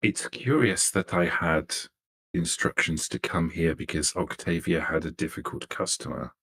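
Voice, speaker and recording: Low, wary vampire played by a roleplayer. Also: animated, normal speed, vocal fry, fairly bright tone, exaggerated voice. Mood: suspicious. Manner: terse, guarded, questioning. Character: wary, suspicious vampire coterie member, curt negotiator. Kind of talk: in-character tabletop roleplay dialogue.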